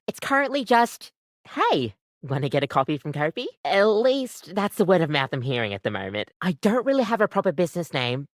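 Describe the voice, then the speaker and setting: Woman acting an animated, chatty coffee-maker barista gal. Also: mid-range voice, no echo, clean studio sound, natural voice. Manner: expressive, chatty, rambling, gushing about coffee. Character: living coffee maker, a bubbly, earnest, chatty female barista. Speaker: video game character. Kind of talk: scripted video game voice acting.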